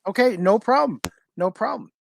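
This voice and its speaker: A man's voice: sits high, animated, normal speed, vocal fry, slight background noise. A man hosting a talk show, asking questions in a casual, unscripted and somewhat hesitant, reassuring way. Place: remote video call, dry sound.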